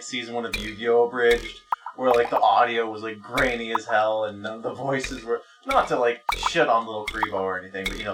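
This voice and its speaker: A man hosting a gaming video, chatting casually in a rambling, halting, foul-mouthed way while playing. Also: mid-range voice, distant mic, rough recording. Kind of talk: rambling casual let's play chat.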